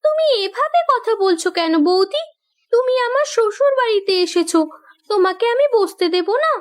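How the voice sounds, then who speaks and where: This is a young, rich-born bride from a cartoon tale, acted by a woman. Her voice is extremely high and girlish. She speaks earnestly and insistently, loving yet stubborn. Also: expressive, normal speed, soft background music. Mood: puzzled, welcoming. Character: determined, loving young landlord's daughter turned farmer's wife. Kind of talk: cartoon story voice acting.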